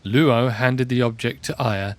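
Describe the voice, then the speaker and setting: A man's voice: medium pitch, a little slow. A man giving scripted narration for a fantasy audio drama, in the style of a storybook. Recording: music bed under voice.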